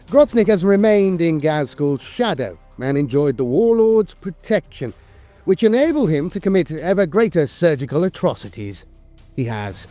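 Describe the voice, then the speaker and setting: Animated, theatrical man narrating lore. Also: fairly high pitch, dry recording. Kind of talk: scripted dramatic storytelling narration.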